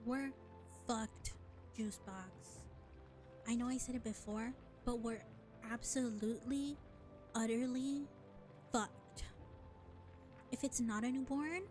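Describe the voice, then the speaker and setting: Sly, self-serving vampire played by a woman for audio roleplay: soft-edged mellow tone, unhurried sardonic delivery, faint music underneath. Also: on the high side, natural voice. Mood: blunt dismay. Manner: sardonic, condescending, drawling with deliberate pauses. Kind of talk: scripted audio roleplay acting addressed to listener.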